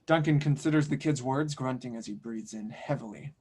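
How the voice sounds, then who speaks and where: A man's voice: medium pitch, a little fast, a little mellow, husky. A man reading scene directions as the narrator of an audio drama table read. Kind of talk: scripted narration.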